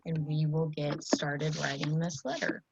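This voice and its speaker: An American woman teaching students informally, explaining step by step in a halting way. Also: sits low, flat delivery, creaky. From Iowa, United States. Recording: dull lo-fi audio with faint noise.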